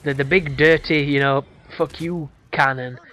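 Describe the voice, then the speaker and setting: A man with a hoarse voice, giving casual, crude, rambling commentary over a game walkthrough. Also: fairly high pitch, steady tone, unhurried, sharp tone. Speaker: gameplay commentator.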